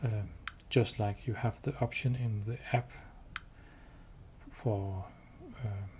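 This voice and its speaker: A man giving a hands-on product demo indoors, explaining step by step in a halting, matter-of-fact way. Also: on the low side, steady tone, a little mellow. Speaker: product demonstrator. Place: indoors, showroom with wall-mounted devices. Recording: clear background noise.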